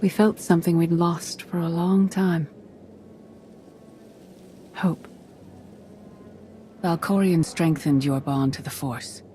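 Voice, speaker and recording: A woman voicing a solemn, weary female Jedi master in a video game, speaking in a measured, grave, cryptic way. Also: lowish voice, fairly even intonation, warm tone, a little hiss or hum. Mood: grave, instructive. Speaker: video game character voice actor. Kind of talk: scripted dramatic game dialogue.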